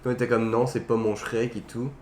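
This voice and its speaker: A man's voice: mid-range voice, flat delivery, a little fast. A young man with a Quebec French accent chatting casually about films, colloquial, with some English words dropped in. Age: young adult. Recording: roomy echo, faint background noise.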